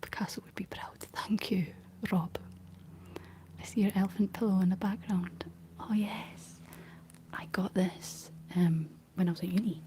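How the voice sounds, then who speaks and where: A young Scottish woman speaking in a soft, breathy whisper, chatting casually and gently in an ASMR style. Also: medium pitch, fairly even intonation, a little slow, rough recording. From Scotland. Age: young adult. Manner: gentle, rambling chat while painting. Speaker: ASMR streamer reading and answering live chat. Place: living room.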